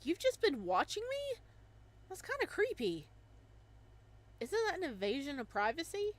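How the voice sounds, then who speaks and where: A skeptical young male florist with a dry, deadpan manner, voiced by a woman reading aloud. His lines come in a fairly high, lively voice. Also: normal speed.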